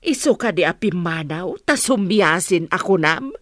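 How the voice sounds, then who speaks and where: A woman's voice: fairly low pitch, lively intonation, normal speed, fairly bright tone, dry recording. A middle-aged woman with an Ilocano accent playing a nosy, insistent village mother in a radio drama. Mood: insistent, puzzled.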